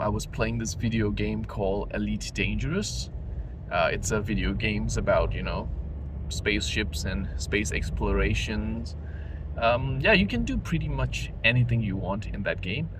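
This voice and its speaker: A man vlogging off the cuff from his car at night, rambling with many fillers. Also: on the low side. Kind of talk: unscripted casual vlog while driving. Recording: clear car noise underneath. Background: car engine and road noise.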